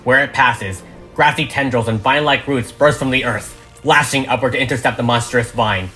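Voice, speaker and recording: Bright-voiced dramatic script narrator over music. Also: mid-range voice, moderate pace, poor audio. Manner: dramatic, reads stage directions.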